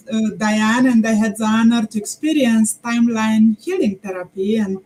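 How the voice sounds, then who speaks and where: An earnest woman from Romania speaks formally as a talk show guest. Her voice is fairly high, with a dark, mellow tone. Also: low-quality recording.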